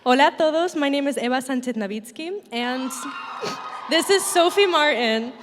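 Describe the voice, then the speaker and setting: A woman's voice: high-pitched, lively intonation, normal speed, long reverb tail, a crowd in the background, background noise. A woman choreographer giving a sincere short speech on stage, switching into Spanish for thanks.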